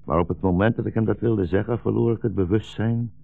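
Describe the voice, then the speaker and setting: An actor playing a weary, gentle old blind rabbi in a 1960s radio drama, speaking slowly and solemnly as a philosophical storyteller. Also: male voice, lowish voice, steady tone, gravelly, soft background music. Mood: bitter, resigned. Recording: dull, roomy 1960s radio recording.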